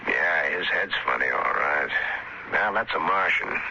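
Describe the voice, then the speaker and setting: A man with an American accent acting in a 1950s radio drama as a gruff, suspicious prospector father on Mars. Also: very deep voice, expressive, unhurried, exaggerated voice. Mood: tense, hushed. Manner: gruff, folksy, barking orders. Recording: noisy, muffled 1950s radio recording.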